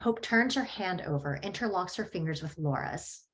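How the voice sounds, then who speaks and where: A woman's voice: on the low side, animated, moderate pace, fairly bright tone. A woman reads stage directions as scripted narration at a table read.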